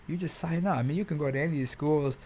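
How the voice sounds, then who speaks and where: A man's voice: a little high, rapid-fire, dark tone, slight background noise. An American man speaking as a podcast guest and jiu-jitsu trainer, telling stories casually in informal, slangy language.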